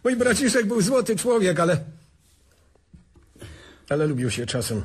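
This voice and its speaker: An actor playing a gentle, evasive elderly uncle in a radio drama, speaking mildly and stammering when cornered. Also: male voice, high voice, sing-song, moderate pace, breathy edge, heavily acted. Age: older.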